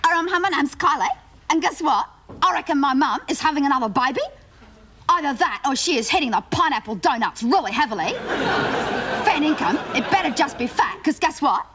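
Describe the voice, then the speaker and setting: A woman with an Australian accent playing a gossipy, easily embarrassed teenage schoolgirl, rambling breathlessly through a comedy monologue. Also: high voice. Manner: breathless rambling storytelling, mocking asides. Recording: studio audience laughter and noise.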